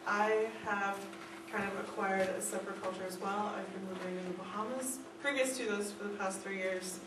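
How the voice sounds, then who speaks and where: A woman from Ohio introducing herself to a class, thoughtful and pausing between phrases. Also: medium pitch, fairly even intonation. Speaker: student. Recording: echoey room from far off, noise.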